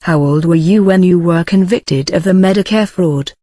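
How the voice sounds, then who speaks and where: A woman's voice: lowish voice, normal speed, mellow, no echo, clean studio sound. A woman interviewer with a formal delivery, reading scripted narration and questions.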